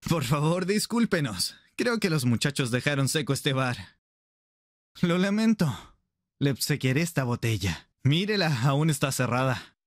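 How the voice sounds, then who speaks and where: A man's voice: fairly high pitch, very animated, moderate pace, no room sound, studio quality. A man playing an affectionate, playful and protective pirate captain in an anime roleplay, with music behind him. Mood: apologetic. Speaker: roleplay performer. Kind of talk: ASMR anime roleplay acting.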